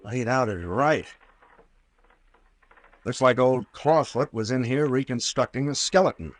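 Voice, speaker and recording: An actor playing a brash, sardonic captain and investigator with folksy slang in an old-time radio drama. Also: male voice, mid-range voice, unhurried, dry recording, natural voice. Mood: wry, probing. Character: gruff wisecracking sea captain playing armed investigator.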